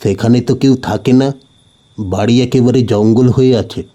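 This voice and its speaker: A man's voice: mid-range voice, moderate pace, warm tone, close to an everyday voice. A man voicing a plain-spoken village grocer who is wary but helpful, in a horror audio story.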